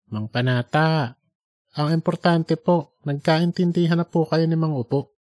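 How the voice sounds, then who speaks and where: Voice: mid-range voice, measured pace, a little mellow, natural voice. Narrator as a polite, earnest young man. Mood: reassuring. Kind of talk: audiobook character dialogue.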